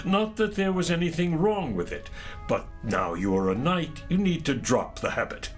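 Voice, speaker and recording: An actor playing a kindly, courteous older knight mentor in an audio drama, giving measured, courtly instruction. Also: male voice, a little high, sing-song, soft background music. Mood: gently admonishing. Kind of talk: audio drama acting of a medieval legend.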